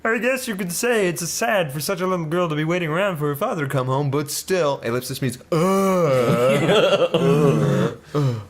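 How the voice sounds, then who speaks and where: A man's voice: on the high side, lively intonation, moderate pace. A man hosting a let's play, reading game text aloud in a jokey, mock-dramatic narration.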